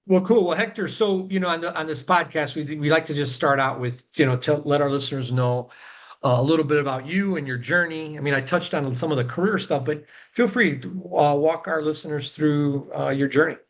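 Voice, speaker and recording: A man's voice: fairly high pitch, a little fast. A middle-aged man hosting a podcast, chatting casually with his guest, rambling with lots of fillers like "you know" and "right". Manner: rambling, filler-heavy, affirming with repeated yeahs. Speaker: podcast host. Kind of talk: casual conversational interviewing.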